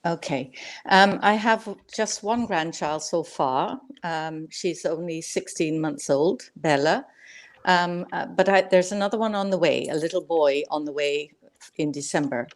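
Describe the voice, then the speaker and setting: Low-voiced woman from Barbados. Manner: measured, many "um" fillers. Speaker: guest grandmother. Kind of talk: live video panel conversation.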